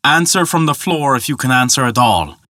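A man's voice: medium pitch, expressive, fairly quick, sharp tone, dry recording. A man voicing a proud, menacing giant riddle master who questions formally and challengingly, in a storytelling podcast.

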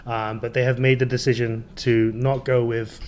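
A man co-hosting a casual football podcast, relaxed and chatty, laughing easily as he talks through the week's games. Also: mid-range voice, normal speed, slight background noise. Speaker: podcast co-host.

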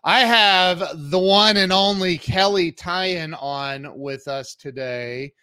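A man's voice: sits high, fairly even intonation, takes it slowly, pushed and bright, smooth, no room sound. A man hosting a podcast interview, talking in an upbeat, enthusiastic way and often restarting his sentences. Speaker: podcast host. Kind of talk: casual interview chat.

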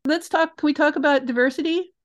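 A woman's voice: high voice, fast. An older American woman giving conversational interview answers in a reflective, emphatic and digressive way. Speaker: interview guest, theatre creator.

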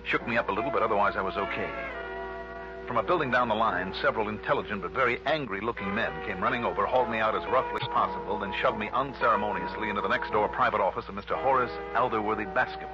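An actor playing a wry, brisk American insurance investigator in a crisp, dramatic 1960s radio drama style. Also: male voice, on the low side, lightly acted. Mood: wry narrating. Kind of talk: 1960s radio drama, first-person hard-boiled narration. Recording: dull lo-fi old radio recording, music behind.

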